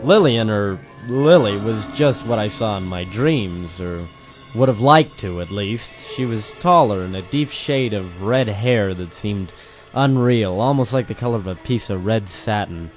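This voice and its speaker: A man's voice: fairly low pitch, lively intonation, a little slow. Man playing a hardboiled, weary private eye over music. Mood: noir narration. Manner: wisecracking, theatrical noir delivery. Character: world-weary hardboiled male private detective narrator, ex-Marine. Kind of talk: old-time radio detective drama acting. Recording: music behind the voice.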